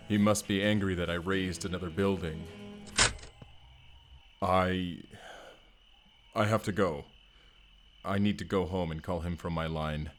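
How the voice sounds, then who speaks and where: An actor playing a hulking, earnest, gentle barbarian man in an audio roleplay, speaking formally and haltingly. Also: deep voice. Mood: awkward, apologetic. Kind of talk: first-person audio roleplay acting.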